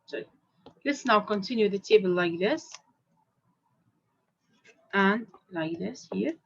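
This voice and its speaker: A woman's voice: mid-range voice, expressive, creaky, a bit bright, husky, lo-fi sound. A woman from Jordan, a math teacher leading a classroom lesson and questioning her students one by one. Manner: slow, repetitive questioning of students.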